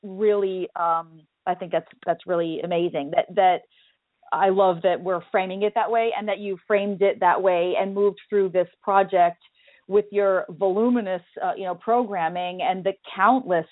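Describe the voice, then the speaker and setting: A woman's voice: medium pitch, steady tone, normal speed, no room sound, phone-quality sound. A woman hosting a call-in radio show, praising her guest in an enthusiastic, rambling way. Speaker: radio host.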